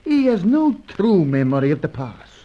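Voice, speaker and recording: A man's voice: high-pitched, sing-song, normal speed. An actor playing an earnest male South American guide, formal and deferential, in old-time radio serial style. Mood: grave, respectful. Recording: dull vintage radio recording.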